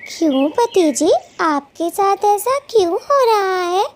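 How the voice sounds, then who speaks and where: A woman voicing a caring but feisty little female bird in a children's cartoon, earnest and expressive. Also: very high voice, takes it slowly, heavily acted. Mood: caring, worried. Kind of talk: children's cartoon story acting.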